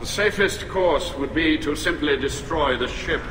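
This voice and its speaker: A man's voice: on the high side, unhurried, noisy background, close to an everyday voice. An actor playing a stern, hardline male alien admiral in a game cutscene. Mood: combative. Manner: sharp, combative. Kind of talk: video game cutscene dialogue. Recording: echoey game mix with music.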